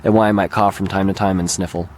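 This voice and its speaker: Slightly hoarse man vlogging outdoors. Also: low-pitched, level tone, quick pace, darkish tone, a little hiss or hum. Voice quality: slightly raspy. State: mildly hoarse, recovering throat. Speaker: video channel host and gamer. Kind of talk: casual rambling vlog talk. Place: open lakeshore beach outdoors. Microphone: handheld camera held by the speaker.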